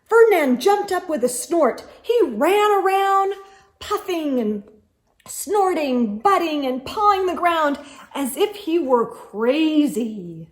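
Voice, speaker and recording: A woman's voice: high-pitched, sing-song, slow pace. A woman reading a children's storybook aloud as host, expressively and with emphasis, speaking directly to young listeners.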